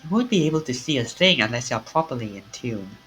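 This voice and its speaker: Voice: mid-range voice, big pitch swings, fast, a bit bright, slight background noise. A streamer reading a visual novel's dialogue aloud, swinging their voice up and down between lines.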